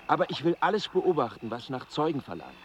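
A man's voice: fairly high pitch, very animated, quick pace, a bit bright, slight background noise. A man in a radio play acting a servile, eager colonial newspaper reporter with a deferential manner. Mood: eager, obsequious. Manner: deferential, echoing as he notes down.

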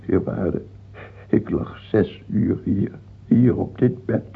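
Husky, anguished dying king. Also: sits low, exaggerated voice. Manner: halting and stammering, turning imperious. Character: dying king of France, tyrannical, fearful, doubt-ridden, gout-ridden. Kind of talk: radio drama acting. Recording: muffled, tinny old radio-play recording.

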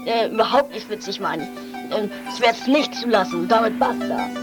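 A woman's voice: fairly high pitch, moderate pace, loud music behind the voice, close to an everyday voice. A woman playing a weary, bossy but protective guardian in an improvised radio play, grumpy and dismissive. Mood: doubtful.

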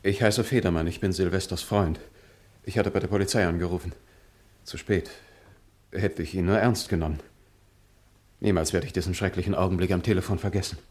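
An actor playing a shaken, grieving friend, speaking with halting, earnest drama in a radio crime play. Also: male voice, deep voice, steady tone, a little mellow, lightly acted. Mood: shaken, dramatic. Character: shaken, grieving male friend of a dead man.

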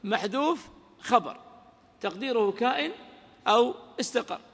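A man teaching grammar in a lecture, quizzing his listeners with rhetorical questions and reciting verse lines slowly. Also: very high voice, expressive, fairly bright tone. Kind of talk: lecture explaining a classical grammar poem. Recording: lecture audio with long hall reverb.

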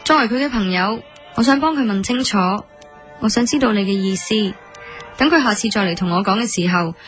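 A woman's voice: a little high, fairly quick, close to an everyday voice. Radio drama lead, played by a woman: proud, lovesick young café woman, Hong Kong Cantonese, earnest and easily indignant, over music. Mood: pointed. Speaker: lead actress and narrator. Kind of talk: radio drama acting with narrated inner monologue. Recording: studio drama with music underneath.

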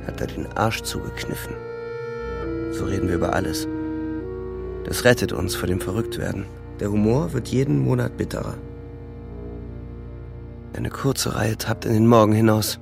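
An actor playing a weary young German frontline soldier, narrating the war in a grim, terse literary radio-drama style. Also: male voice, lowish voice, creaky.

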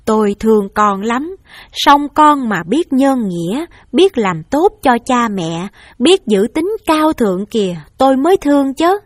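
A woman's voice: on the high side, sing-song, measured pace, no room sound, clean studio sound. A woman reading a stern father's lines. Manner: stern, reproachful. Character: stern middle-aged father, a district official.

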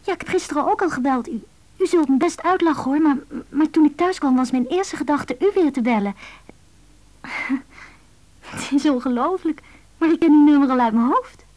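An actress in a radio play playing a shy, hesitant woman who speaks softly and stammers on the phone. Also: high voice, expressive, normal speed. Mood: shy, excited. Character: shy, hesitant woman phoning a stranger's answering machine. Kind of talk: naturalistic Dutch radio drama acting.

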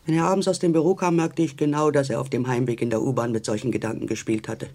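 Casting: man as reflective witness in a radio play, medium pitch, halting recollections full of pauses. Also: flat delivery, a little mellow, lightly acted. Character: pensive witness recalling a restless, distant man. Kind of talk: radio drama monologue.

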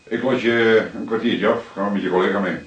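An actor playing a seasoned, calm police detective in a radio drama, measured, persistent and dryly matter-of-fact. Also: male voice, on the low side, slightly rough, lo-fi sound. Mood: firm, polite.

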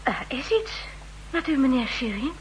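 An actress playing a deferential, attentive, plain-spoken household cook and servant in a 1960s radio drama. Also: female voice, sits high, sing-song, moderate pace, low-quality recording. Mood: deferential, concerned. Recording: 1960s radio recording, faint hum and noise.

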